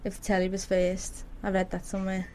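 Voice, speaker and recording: A woman's voice: medium pitch, completely flat delivery, brisk, dark tone. Young woman radio host, giggly casual chat, bit of noise behind her. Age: young adult. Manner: giggly, chatty. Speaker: radio presenter. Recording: clear background noise, room ambience.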